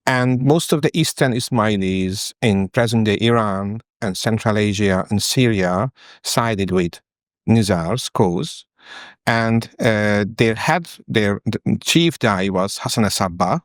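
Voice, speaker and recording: A man from Hungary with a Hungarian accent, a professor giving formal, somewhat halting scholarly explanations as a guest. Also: fairly low pitch, no echo, clean studio sound. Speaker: history professor, interview guest.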